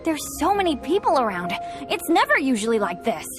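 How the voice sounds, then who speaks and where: A woman's voice: sits high, big pitch swings, fairly quick. A woman voicing an earnest, friendly, self-blaming teenage boy adventurer in a video game. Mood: surprised. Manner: earnest, boyish. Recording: music behind the voice.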